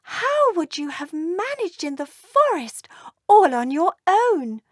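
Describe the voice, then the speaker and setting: A woman voicing a doting, gentle mother dragon in a children's storybook, tender and motherly. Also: very high voice, big pitch swings, takes it slowly, no echo, clean studio sound, pitched above the performer's own voice, exaggerated voice. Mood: relieved, scolding. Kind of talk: children's storybook read aloud.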